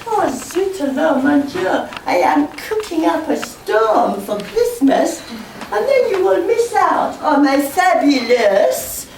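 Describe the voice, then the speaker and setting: A woman acts out a flamboyant musketeer in a comic stage pantomime. The voice is high and swooping, drawn out very slowly for effect. It comes from far off in an echoey hall. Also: big pitch swings, darkish tone, a little hiss or hum, full character voice. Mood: flamboyant indignation. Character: flamboyant, bickering male French musketeer, boastful comic cook. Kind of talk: amateur Christmas pantomime acting. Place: live amateur stage in a hall.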